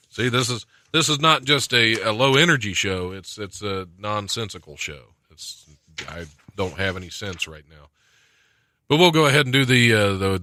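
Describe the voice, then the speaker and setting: Bright-voiced American man hosting comedy talk. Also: lowish voice, no echo, studio quality. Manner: rambling, self-deprecating. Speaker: radio show host. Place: studio.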